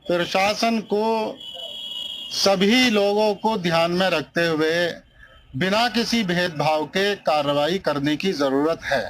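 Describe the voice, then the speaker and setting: A man speaks in an interview at a very slow pace. His voice is high for a man, his phrasing formal and halting. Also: a bit bright, rough recording. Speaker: local interviewee. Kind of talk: interview statement.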